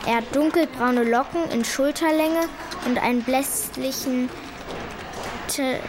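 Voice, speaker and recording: A woman's voice: high voice, measured pace, noisy background. A female performer playing an earnest, insistent young schoolgirl in a German children's radio play, blunt and worried. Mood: reading aloud. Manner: blunt, insistent, hesitant when unsure.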